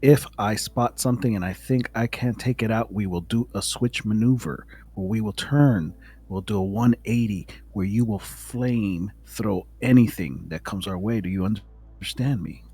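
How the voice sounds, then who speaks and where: A man's voice: on the low side, normal speed, dark tone, husky. A man playing a tabletop roleplaying game in character, speaking in a commanding, instructional manner.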